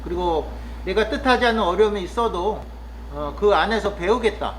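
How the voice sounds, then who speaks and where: A man from Korea's Chungcheong region talking casually and reflectively to the camera, pausing often with fillers as he muses. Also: high-pitched, expressive, a bit bright, a little hiss or hum, low-quality recording. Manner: thoughtful, musing, with frequent pauses and fillers. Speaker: vlogger living in the countryside.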